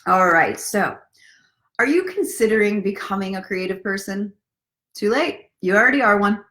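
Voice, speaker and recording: A woman's voice: medium pitch, lively intonation, normal speed, a bit bright. A woman hosting a casual live story time, speaking directly to viewers in an encouraging, playful way as a self-love coach. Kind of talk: casual live-stream chat with guided breathing and book reading.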